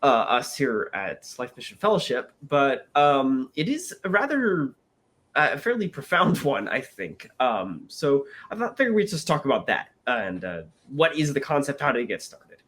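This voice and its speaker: A man's voice: medium pitch, normal speed. A man hosting a podcast with a nasal voice, congested from a cold, opening the show and introducing the topic. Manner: upbeat, lively, laughs often. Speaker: podcast host.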